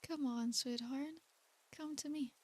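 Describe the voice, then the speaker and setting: A woman playing a tender, doting, centuries-old succubus in a soothing, soft and breathy ASMR roleplay. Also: high voice, steady tone, a little slow, a little hiss or hum. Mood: affectionate, coaxing. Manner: soothing, coaxing, hushed, with pet names.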